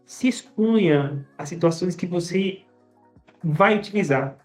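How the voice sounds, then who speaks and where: A language teacher talking casually to viewers, often tagging sentences with a quick question and restarting phrases. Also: mid-range voice, lively intonation, faint music behind. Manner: conversational, frequent tag questions and restarts. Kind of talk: casual teaching monologue to camera.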